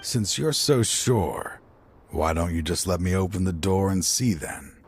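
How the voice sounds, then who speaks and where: An actor playing a weary, regretful older man in a video game, a grieving father who sighs and talks slowly. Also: sits low, lively intonation, slight background noise. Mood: pleading, eager. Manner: weary, slow, sighing. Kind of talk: game cutscene voice acting.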